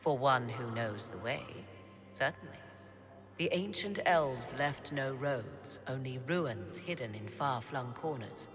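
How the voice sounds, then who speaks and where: An actress playing a cryptic, self-assured sorceress in a fantasy video game, speaking deliberately and theatrically in archaic phrasing. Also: female voice, very deep voice, lively intonation, music in the background, full character voice. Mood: enigmatic. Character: cryptic, self-assured sorceress, adult woman with arcane knowledge.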